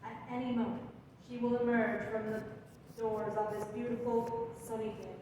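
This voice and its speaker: A woman's voice: on the high side, level tone, moderate pace, natural voice. A woman playing a gushing press correspondent in a radio comedy, drawn out, flowery and mock-grave. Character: breathless gushing press reporter, stretching out flowery scene-setting. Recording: very echoey hall, distant, faint noise.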